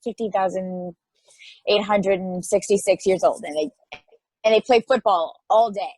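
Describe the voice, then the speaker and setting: A woman's voice: medium pitch, steady tone, moderate pace, darkish tone, husky, dry recording, close to an everyday voice, rough recording. A woman playing a baffled, questioning space probe in an amateur table read of a play. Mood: incredulous.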